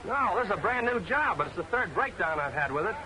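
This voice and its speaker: An actor playing a puzzled, forthright bus driver in 1940s film serial style. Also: male voice, high-pitched, very animated, fast. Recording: noisy, echoey old film soundtrack.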